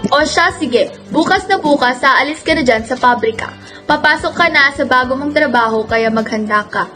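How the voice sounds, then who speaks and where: Radio drama villainess: actress playing cold, greedy bar madam, high voice, curt orders, faint music behind. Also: female voice, normal speed, bright, forceful tone. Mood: stern. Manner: bossy, curt. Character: cold, domineering, greedy female bar owner and guardian.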